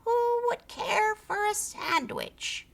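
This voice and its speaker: Storybook creature, small and female, bossy and cackling, played by a woman; high, sharp, swooping sing-song. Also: animated, takes it slowly, gravelly, full character voice. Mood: sing-song calling.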